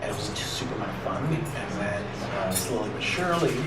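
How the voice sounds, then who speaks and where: A young American man answering interview questions in a loose, halting, enthusiastic way. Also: fairly low pitch, lively intonation. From United States, Chicago. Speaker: interviewed comedian. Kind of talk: casual interview chat. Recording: echoey, distant mic, loud music behind.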